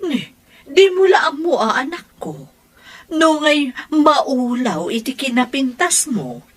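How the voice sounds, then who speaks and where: A woman's voice: high-pitched, sing-song, slow pace, heavily acted. A woman voicing a proud mother who teases her grown daughter. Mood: teasing.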